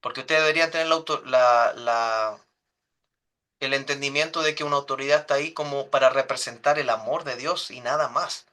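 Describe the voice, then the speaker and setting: Bright-voiced pastor teaching. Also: male voice, mid-range voice, brisk. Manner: earnest, pastoral, speaks directly to the group. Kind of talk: teaching a church class.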